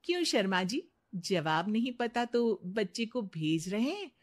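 A woman voicing a smug young daughter-in-law in an animated story, self-assured and taunting. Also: medium pitch, measured pace. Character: smug, challenging young daughter-in-law who loves riddles.

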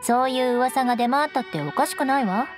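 A woman's voice: sits high, expressive, quick pace. A woman voicing a proud, aloof young noblewoman knight in an anime game, with composed, elegant, haughty phrasing. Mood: cool. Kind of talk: Japanese anime game voice acting. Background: orchestral game music with strings.

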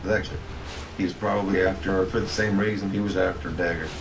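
A man's voice: deep voice, fairly even intonation, brisk, gravelly, background noise. A man playing a determined young adventurer who protects his friends, blunt and casual, in a video game fandub. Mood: resolute. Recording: distant mic under game music.

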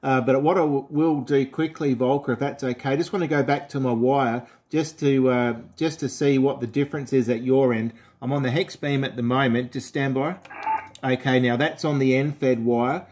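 A man's voice: mid-range voice, fairly even intonation, normal speed, a little mellow. A man with an Australian accent talking casually as an amateur radio operator, with radio sign-off phrasing. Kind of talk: casual ham radio exchange. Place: indoors at a home radio station.

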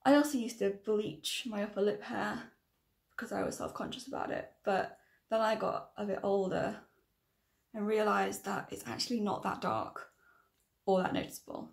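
A woman in her thirties with a croaky, tiring voice, chatting and correcting herself as she presents a casual tutorial to camera. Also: medium pitch, fairly even intonation, normal speed, roomy sound. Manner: chatty, rambling, self-correcting. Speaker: makeup tutorial vlogger. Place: small room indoors.

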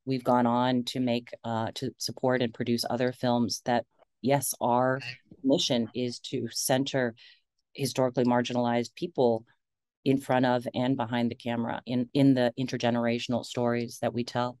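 A low-voiced woman in her forties talks on a video call as an interview guest. She is animated, restarts often and laughs easily. The sound is dry and close. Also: normal speed, no echo. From United States.

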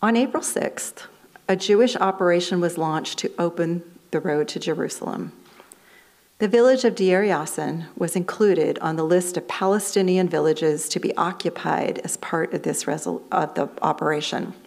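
A woman in her fifties with an American accent, a minister giving a sermon at a lectern microphone in a fellowship hall, explaining history in a measured way. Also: lowish voice, creaky, a little mellow. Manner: measured, explanatory delivery reading from notes.

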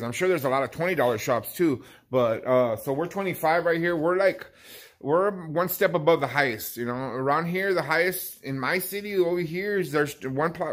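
A man's voice: mid-range voice, a bit bright. A man in his fifties with a Southern California accent, a barbershop owner talking casually to his phone camera in his small shop, rambling and repeating words. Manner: rambling, repeats words, addresses viewers as guys. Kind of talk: casual vlog talk to camera. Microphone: handheld phone at arm's length.

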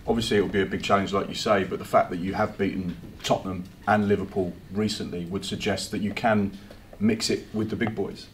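A man's voice: lowish voice, fairly even intonation, fairly quick, a little hiss or hum. A man working as a reporter, asking prepared, formal questions at a press conference.

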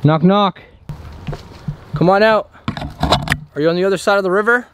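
A man vlogging as he explores outdoors, talking in a jittery, repetitive way with frequent swearing, often dropping into a hush. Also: high-pitched, normal speed. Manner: jittery, breathless, heavy swearing, repeats phrases. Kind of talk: whispered and hushed first-person exploration vlog. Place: outdoors at an abandoned campsite. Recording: noisy outdoor handheld ambience.

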